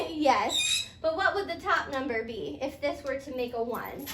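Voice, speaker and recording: A woman's voice: sits high, expressive, unhurried, fairly bright tone. A woman teaching a playful fairytale math lesson step by step, encouraging her pupil with sing-song questions and repeated answers. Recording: roomy echo, faint background noise.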